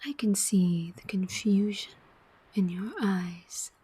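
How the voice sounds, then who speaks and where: A cunning female demon played by a woman speaks in an ASMR roleplay. Her voice is almost entirely breathy whisper, with very little clear tone. She moves extremely slowly and deliberately, taunting her listener as she goes. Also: medium pitch, fairly even intonation, dark tone.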